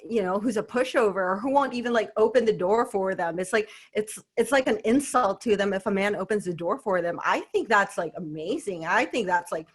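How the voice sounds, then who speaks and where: A woman's voice: medium pitch, a little fast, few pauses, husky. A woman from the Philippines, a guest on a podcast, speaking in an outspoken, emphatic way with plenty of "you know" and "like". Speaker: podcast guest, fitness professional. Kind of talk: casual podcast conversation.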